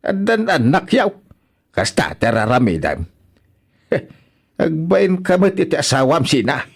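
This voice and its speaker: A man's voice: a little high, very animated, unhurried, breathy edge, heavily acted. Stern Ilocano father, wildly swinging voice. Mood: angry scolding. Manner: scolding, emphatic, repeats words. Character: stern, indignant elderly father scolding his unfaithful married daughter. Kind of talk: Ilocano radio drama acting.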